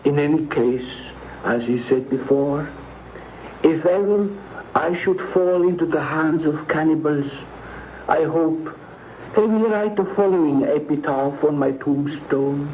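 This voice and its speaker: A man telling a prepared anecdote in a formal, deliberate storytelling manner. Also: on the high side. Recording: muffled 1950s radio recording, faint noise.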